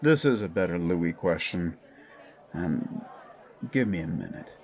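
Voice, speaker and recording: A man playing a gruff, sardonic, unimpressed bar boss in an audio drama. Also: low voice, lively intonation, measured pace, darkish tone, a faint crowd, voice pushed down. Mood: resigned. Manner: dry, unimpressed. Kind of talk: scripted audio drama dialogue.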